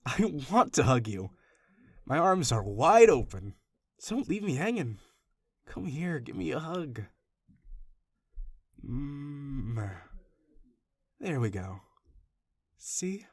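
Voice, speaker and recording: A man's voice: mid-range voice, sing-song, slow pace, long pauses, no room sound. A man playing an obsessive, doting young stalker in an audio roleplay, talking straight to the listener in an affectionate, rambling, gushing way. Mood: tender, coaxing. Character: obsessive, doting young male stalker, awkwardly gentle kidnapper.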